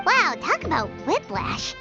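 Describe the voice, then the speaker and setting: Casting: pint-sized cheeky game fairy, extremely high squeaky childlike voice, bouncy intonation, slightly dull audio over music. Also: female voice, wildly swooping pitch, a little slow, vocal fry, full character voice. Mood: curious, teasing. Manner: exclaiming, chatty, refers to herself in third person. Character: tiny childlike excitable fairy companion, female, chatty and cheeky. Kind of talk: video game voice acting.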